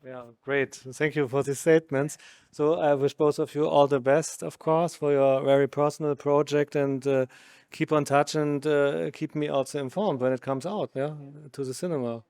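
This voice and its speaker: Even-toned German-accented male interviewer. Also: a little high, clear, resonant tone, dry recording. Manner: halting, non-native phrasing. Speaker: interviewer, magazine journalist. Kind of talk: interview questions.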